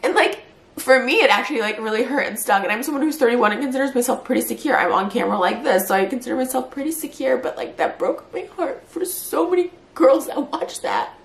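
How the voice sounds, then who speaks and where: Tired, hurt woman vlogging, gravelly voice. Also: fairly high pitch, lively intonation, echoey room. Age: 30s. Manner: tired, hurt and fast-talking, with many "like" fillers. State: short on sleep, near tears later. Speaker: YouTuber. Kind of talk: emotional response vlog.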